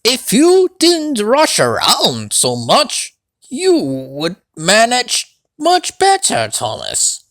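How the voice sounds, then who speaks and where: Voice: mid-range voice, huge pitch swings, extremely slow, a bit bright, dry recording, studio quality, voice pushed up, full character voice. A reader voicing a kindly, patient older male engine giving advice in a children's storybook. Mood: gently advising. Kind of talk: children's storybook character reading.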